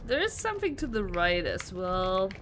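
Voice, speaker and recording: A woman chatting casually as she streams a game, informal and reactive, thinking out loud. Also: mid-range voice, sing-song, normal speed. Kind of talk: casual live gameplay chat. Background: game music.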